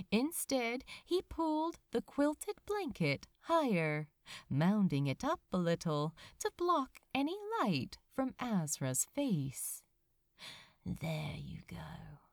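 A woman reads scripted fiction aloud like an audiobook. Her delivery is unhurried and measured, with widely varied, expressive intonation. The recording is dry and close, at a medium pitch. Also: dry recording. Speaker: fan fiction audiobook reader. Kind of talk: scripted story narration.

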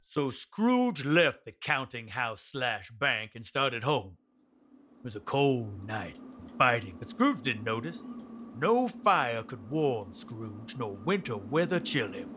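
Working-class storyteller, wry, dramatic, expressive swings, unhurried, faint noise behind. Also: male voice, medium pitch. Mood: storytelling, wry. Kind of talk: radio drama narration and acting.

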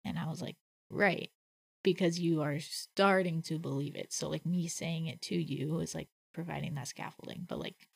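A woman's voice: deep voice, fairly even intonation, moderate pace, vocal fry, husky, no room sound. A woman co-hosting a casual faith conversation, reflective and thinking aloud with many "like" fillers. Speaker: podcast co-host.

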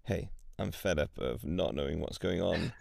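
A young man from Wales, a guest on a podcast, talking in a relaxed and chatty way. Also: sits low, normal speed, vocal fry, no room sound, studio quality. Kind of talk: casual conversation.

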